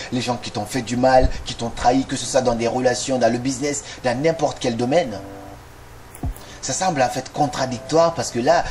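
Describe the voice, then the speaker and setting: Earnest man giving a motivational talk. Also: mid-range voice. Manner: direct, addresses listener as tu, rhetorical questions. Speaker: motivational video host. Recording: noisy recording with clicks.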